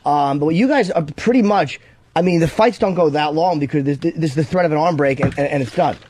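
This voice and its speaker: A man's voice: a little high, fairly quick, darkish tone, a little hiss or hum. A man co-hosting a radio show in casual banter, talking bluntly and profanely like an enthusiastic fan.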